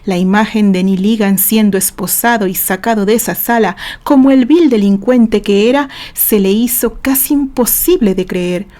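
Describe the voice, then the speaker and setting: A woman with a Mexican accent narrating a dramatic story in measured storytelling. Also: medium pitch, dark tone. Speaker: author and narrator.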